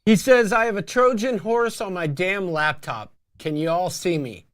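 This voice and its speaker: A man's voice: high-pitched, normal speed. A man in his fifties hosting a casual live show, reading out viewer comments and bantering in a teasing way. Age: 50s. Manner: animated, teasing.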